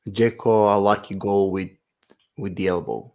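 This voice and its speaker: A man's voice: fairly low pitch, steady tone, a little slow, a little mellow. A man co-hosting a podcast in casual chat, blunt and teasing, swearing freely. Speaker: podcast co-host.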